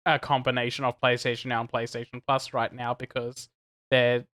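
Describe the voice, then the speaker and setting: A man co-hosting a casual gaming podcast, animated and chatty, laughing between points and restarting sentences often. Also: medium pitch, normal speed. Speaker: podcast co-host.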